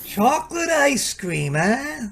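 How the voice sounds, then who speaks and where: A man's voice: very high voice, sing-song, slow, vocal fry, crowd noise, full character voice. A man playing a weary, exasperated maintenance crew chief in a radio drama, grumbling and sardonic.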